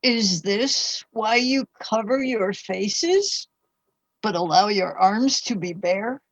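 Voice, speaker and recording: A woman's voice: mid-range voice, animated, slow pace, no room sound. A woman acting in a radio-style play as a polite, curious 19th-century wife, speaking in a formal, old-fashioned way. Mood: concerned, prim.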